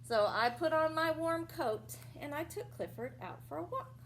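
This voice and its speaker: A woman with a fairly high voice reads a picture book to small children. Her intonation swings widely as she tells the story, and she steps out of it to explain words simply and ask questions. There is faint noise behind her. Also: expressive, normal speed. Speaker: teacher reading aloud to young children. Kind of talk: animated picture-book read-aloud with asides and questions to children.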